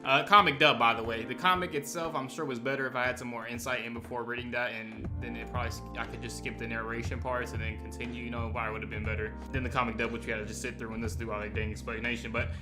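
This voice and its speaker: Man, reaction host, mid-pitched, slangy, exclamatory, repetitive phrasing, casual commentary, music behind. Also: little pitch movement, racing, hardly any pauses.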